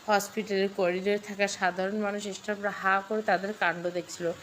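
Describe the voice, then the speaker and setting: A woman's voice: medium pitch, flat delivery, fairly quick, fairly bright tone, slight background noise. A woman reading a scripted story with dramatic delivery. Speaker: story narrator.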